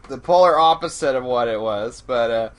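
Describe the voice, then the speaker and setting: A man's voice: mid-range voice, moderate pace. A man co-hosting a podcast, talking through a film in a casual, rambling, self-deprecating way. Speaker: podcast co-host.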